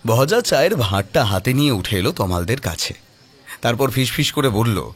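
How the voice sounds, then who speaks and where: A man's voice: medium pitch, sing-song, moderate pace, slightly rough, no echo. A man narrating a scripted horror audio story in a dramatic storytelling style. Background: music.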